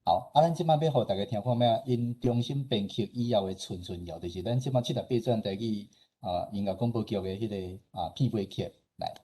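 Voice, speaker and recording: A man's voice: on the low side, a little fast, warm tone, slightly rough. A man with a Taiwanese accent presenting in a conversational, explanatory way, often ending phrases with small agreement-seeking particles. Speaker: radio station director and host presenting a talk. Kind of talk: informal slide presentation.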